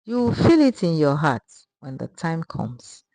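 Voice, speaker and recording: A woman narrator voicing a calm, firm father in a storybook tale, speaking in his steady, serious tone. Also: low-pitched, a little slow, dry recording, full character voice, poor audio.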